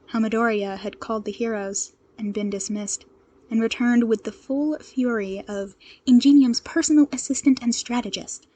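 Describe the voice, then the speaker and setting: A woman reading a fan fiction story aloud as an expressive scripted narrator. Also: on the high side.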